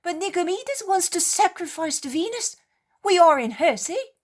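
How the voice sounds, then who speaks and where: A woman voicing a frightened male sailor who reports danger in urgent shouts in an audiobook. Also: very high-pitched, very animated, normal speed, voice pushed up. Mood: panicked.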